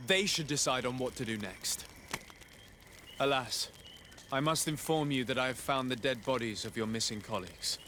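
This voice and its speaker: A man's voice: medium pitch, normal speed, pushed and bright, slight background noise, close to an everyday voice. An actor playing a composed, diplomatic nobleman in a video game cutscene, speaking formally and with courtesy. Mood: solemn.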